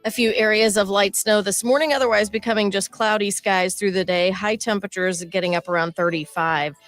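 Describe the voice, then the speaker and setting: A woman who is a meteorologist reading a brisk, scripted weather forecast. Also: medium pitch, fairly even intonation, a bit bright, soft background music. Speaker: chief meteorologist.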